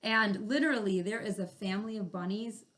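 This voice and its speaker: A woman hosting a children's read-aloud, chatting and explaining the story in animated asides. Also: mid-range voice, a little fast. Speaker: read-aloud host, teacher.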